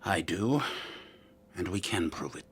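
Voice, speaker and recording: An actor playing a smug, flattering lawyer with a breathy, husky voice and fawning manner in an audio drama. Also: male voice, sits low, unhurried, full character voice. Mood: confident. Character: smug, flattering male lawyer savoring treats.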